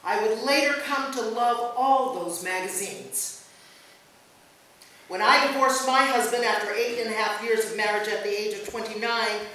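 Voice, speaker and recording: A woman's voice: fairly high pitch, fairly bright tone. A woman pastor reading a written memorial tribute aloud at a church service, recorded from a distance. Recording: very echoey church, distant mic, faint noise.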